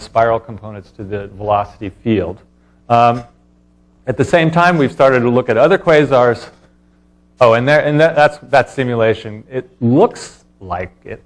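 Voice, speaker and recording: A man presenting research as a scientist at an academic talk, explaining informally in a halting, hesitant way. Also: mid-range voice.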